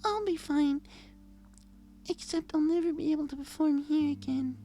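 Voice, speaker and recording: A woman voicing a shy, gentle young female pegasus pony in an audio story, softly and hesitantly. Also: high voice, moderate pace. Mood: shaken, hesitant. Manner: hesitant, timid. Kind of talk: audio fan-fiction character reading.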